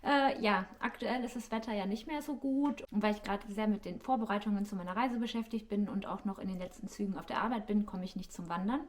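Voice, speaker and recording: A woman's voice: medium pitch, fast talker, few pauses, a little hiss or hum. A woman giving a casual, friendly explainer as a vlogger, searching for her words as she speaks directly to viewers. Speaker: YouTube vlogger. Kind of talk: casual informal explainer to camera. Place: living room.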